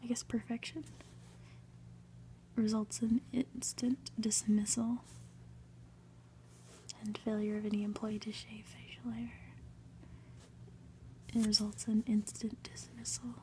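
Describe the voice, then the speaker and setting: A woman's voice: fairly high pitch, level tone, slow, long pauses, mellow, a little hiss or hum, rough recording. A woman voicing a stern, officious workplace rule-giver in a storybook, in clipped, formal phrases. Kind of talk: whispered storybook character reading.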